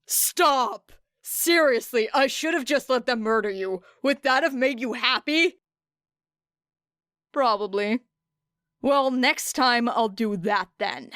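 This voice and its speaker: Gruff, evasive street tough played by a woman, curt and muttering, unhurried delivery, clean dry audiobook sound. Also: on the high side, animated, fairly bright tone, no room sound, voice pushed up. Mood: exasperated. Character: gruff irritable man, street vigilante, evasive and foul-mouthed. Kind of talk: audiobook character dialogue.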